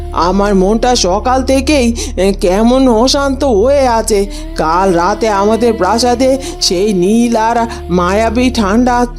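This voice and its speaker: A woman plays a caring, anxious middle-aged mother in a fairytale cartoon. Her voice is fairly high and motherly, with soft music behind it. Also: close to an everyday voice. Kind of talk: Bengali fairytale cartoon dubbing.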